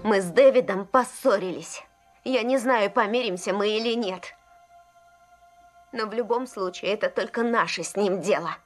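An actress playing an earnest, lovestruck teenage daughter in a dubbed film drama. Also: female voice, mid-range voice, moderate pace, faint music behind, lightly acted. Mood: defensive.

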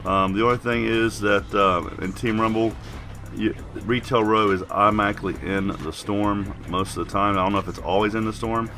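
A man's voice: fairly low pitch, fairly even intonation, moderate pace, bright, forceful tone, soft background music. A man giving casual, plain-spoken game walkthrough commentary as a video host while getting over a cold.

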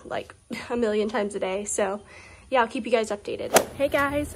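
A young woman with a Southern US accent, talking casually through a how-to farm vlog, full of "um"s and soft, soothing words to her animals. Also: a little high, noisy background. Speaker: vlogger caring for farm animals. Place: outdoors on a farm by animal pens. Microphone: phone camera held close, selfie framing.

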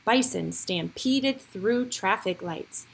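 A woman reading a picture book aloud for young children, with expressive, deliberate storytelling phrasing. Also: medium pitch, creaky, slight background noise, rough recording.

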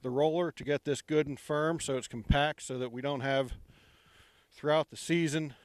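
A man's voice: fairly high pitch, moderate pace, no echo. Man touring a site, handheld mic. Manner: relaxed, many ums, walking while talking. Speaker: project guide giving a site tour. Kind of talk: casual walking tour. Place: outdoor construction site at a ballfield. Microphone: handheld microphone.

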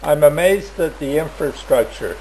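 A man narrating a travel video in a plain, measured voice-over. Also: a little high, animated, darkish tone, slight background noise, poor audio. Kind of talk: slow scripted travel voice-over.

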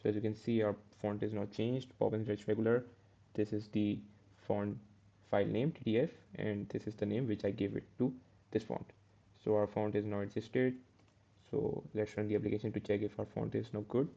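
A man's voice: on the low side, little pitch movement, fairly quick, creaky, warm tone, muffled recording. A man teaching a step-by-step coding tutorial over a screen recording, correcting himself as he goes. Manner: step-by-step, self-correcting with "uh" and "okay". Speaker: programming tutor.

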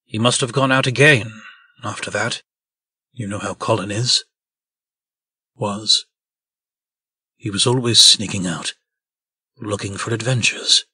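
A man's voice: on the low side, measured pace, pauses often, pushed and bright, slightly rough, no echo, full character voice. A man voicing a belligerent, self-important talking pig in a comic dub, with a pompous, indignant manner. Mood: defensive. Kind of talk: comic dub character acting.

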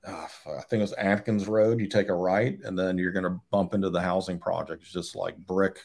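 A man's voice: low-pitched, steady tone, a little fast, creaky, a little mellow. A man in his forties from Georgia, a guest on a video call, chatting casually with blunt, swear-laden storytelling. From Georgia, USA. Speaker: guest, photographer. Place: home room, indoors. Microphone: webcam on a video call.

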